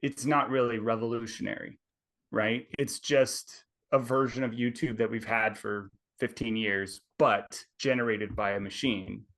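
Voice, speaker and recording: A man's voice: medium pitch, steady tone, a little slow, fairly bright tone. Explaining man, ends points with "right?". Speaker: tech company executive guest. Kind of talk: conversational explaining. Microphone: video call.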